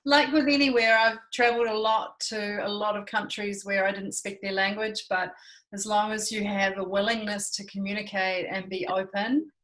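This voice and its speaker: A woman in her thirties from New Zealand with a New Zealand accent, co-hosting a casual chat in a relaxed, reassuring, fluent way. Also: medium pitch, moderate pace, rough recording. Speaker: co-host.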